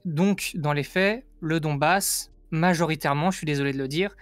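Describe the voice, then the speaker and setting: A man's voice: fairly high pitch, moderate pace, dry recording. A man from France explaining his view in a casual debate, insistent and emphatic, often repeating himself. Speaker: guest giving an opinion.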